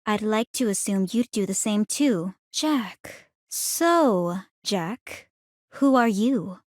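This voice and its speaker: An actress voicing a calm, clinically curious young woman in a video game, speaking in a formal, measured, coolly teasing way. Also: mid-range voice, no echo, studio quality. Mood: cool, inquisitive. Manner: composed, measured, formal, inquisitive. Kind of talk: scripted video game character voice lines.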